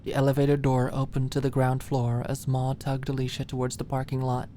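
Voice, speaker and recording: A woman's voice: rumbling low, monotone, brisk, dark tone, a little hiss or hum. A woman reading scripted story narration for an ASMR-style audiobook.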